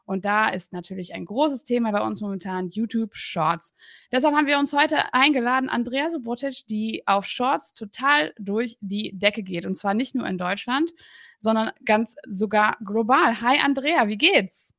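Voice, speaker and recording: A woman's voice: on the high side, normal speed, creaky, fairly bright tone, no echo, studio quality. A woman hosting a podcast interview remotely, upbeat and chatty with many fillers. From Berlin, Germany. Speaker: podcast host. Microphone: remote recording, separate room.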